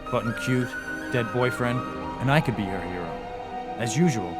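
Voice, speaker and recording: An actor playing a weary, sardonic young ghost hunter, narrating and talking in a wry, deadpan way in a radio drama. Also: male voice, mid-range voice, moderate pace, natural voice. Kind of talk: first-person radio drama narration and dialogue. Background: loud dramatic music.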